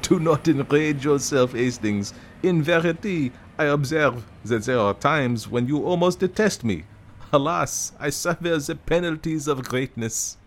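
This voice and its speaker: A man's voice: a little high, animated, unhurried, voice pushed up. A man voicing a vain, fussy middle-aged male detective who talks in a pompous, precise and self-satisfied way. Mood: lofty.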